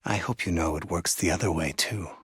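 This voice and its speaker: An actor playing a menacing, sadistic game villain who speaks in a deliberate, seductive way. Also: male voice, sits low, a bit bright, slightly rough, dry recording, clean studio sound, heavily acted. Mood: intimate, menacing. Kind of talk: video game voice lines.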